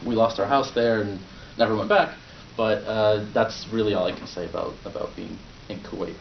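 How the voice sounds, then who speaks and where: A young man born in Poland, Polish his first language, delivers a prepared speech to a club in a low, easygoing voice, with fillers and restarts. He is caught from a distance in a roomy meeting room on a dull, muffled recording. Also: moderate pace, creaky, slight background noise. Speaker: club speaker.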